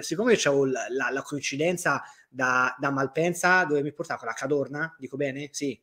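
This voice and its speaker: Guy co-hosting a stream, casual chat, tells stories with lots of emphasis and swearing. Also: fairly high pitch. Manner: animated storytelling, swearing, emphatic repetition. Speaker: co-host of a livestream talk show.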